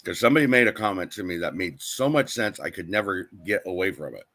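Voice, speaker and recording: Man, very deep voice, casual live-stream co-host, reads out viewer comments. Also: animated, quick pace, fairly bright tone. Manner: reads viewer comments aloud and answers them. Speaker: co-host of a live channel chat.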